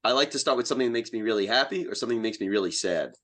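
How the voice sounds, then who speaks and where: A man's voice: mid-range voice, lively intonation, racing, a bit bright. A man who is a playwright and podcast guest, chatting casually in an enthusiastic, plain-spoken way. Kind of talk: casual interview chat.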